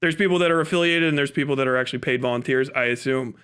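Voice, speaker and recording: A man's voice: mid-range voice, very fast, fairly bright tone, clear, resonant tone. A man giving casual, ranting political commentary as a livestream host, sweary and emphatic, often asking questions and answering them himself.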